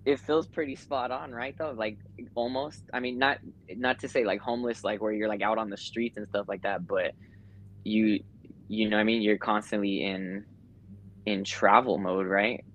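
Low-voiced American podcast host. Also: male voice, fairly even intonation, normal speed, slight background noise, poor audio. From United States. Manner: rambling, filler-heavy, uses "like" and "you know". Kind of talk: casual interview chat.

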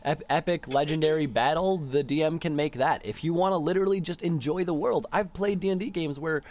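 A young man in casual chat as a podcast co-host who runs tabletop games, relaxed and rambling, with plenty of fillers and restarts. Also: fairly high pitch, a little fast. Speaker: student podcast co-host, game master. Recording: dull lo-fi audio.

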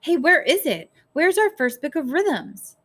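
A woman's voice: on the high side, lively intonation, unhurried, a bit bright, pitched above the performer's own voice. Woman doing a stressed out mom in an audiobook, emotional, falling apart. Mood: frantic. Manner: emotional, fraying. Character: despairing, overwrought young mother.

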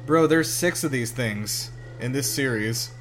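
A man's voice: medium pitch, unhurried, over music. A man reacting casually to a film in an incredulous, questioning manner. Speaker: host of a movie reaction show. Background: film soundtrack playing behind.

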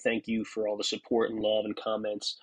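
A husky man in his forties talks through a solo podcast episode. He sounds stuffy from a cold and now and then clears his throat. He rambles reflectively, full of fillers and restarts. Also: on the low side, monotone, moderate pace. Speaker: podcast host. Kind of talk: casual solo podcast monologue.

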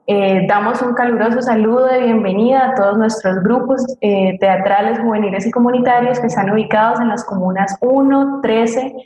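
A woman's voice: on the high side, fairly even intonation, normal speed, few pauses, darkish tone, dull audio. A woman co-hosting a live broadcast, announcing greetings to the audience. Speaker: co-host reading audience greetings. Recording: roomy, echoing sound.

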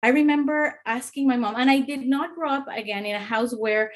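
Woman in her forties from Mexico, higher-pitched, frank and thoughtful, as a podcast guest. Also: brisk. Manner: reflective, frank, with fillers. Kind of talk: casual personal testimony.

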